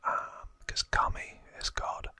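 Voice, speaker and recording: An ASMR host whispering trivia in a breathy, unvoiced voice, explaining hesitantly with soft pauses.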